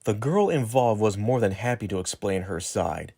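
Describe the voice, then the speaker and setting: Male narrator, low robotic synthetic voice, formal measured scripted reading, very dry sound. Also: lively intonation, vocal fry, mellow, slightly rough, no room sound. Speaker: video narrator. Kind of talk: scripted narration, accusatory exposé.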